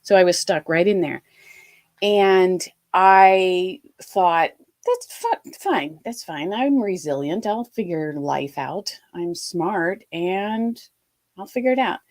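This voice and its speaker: Lively woman telling her story. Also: fairly low pitch, takes it slowly. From Ohio, USA. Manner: lively, animated retelling with quoted asides. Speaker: guest. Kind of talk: storytelling interview.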